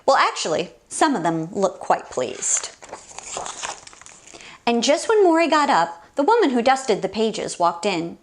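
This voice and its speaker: A woman hosting a children's story time, reading a picture book aloud in an expressive, sing-song, exclaiming way. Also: fairly high pitch, unhurried.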